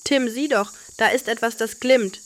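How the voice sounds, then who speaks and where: A woman voicing a curious, gentle young girl full of wonder in a children's bedtime story. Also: fairly high pitch, moderate pace, slight background noise, lightly acted.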